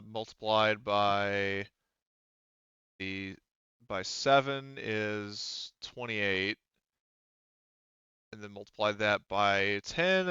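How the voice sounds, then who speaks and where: A man acting as game master in casual, improvised talk, halting as he thinks aloud. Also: lowish voice, bright, forceful tone, clear, resonant tone, no room sound, lo-fi sound. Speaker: game master of a tabletop roleplaying game.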